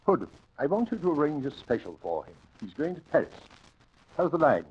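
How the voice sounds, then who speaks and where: A railway superintendent in a vintage radio play. His husky voice swings widely in pitch, with businesslike authority. The sound is dull and lo-fi. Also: sing-song, a little fast. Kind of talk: 1940s radio drama acting. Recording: dull old radio recording.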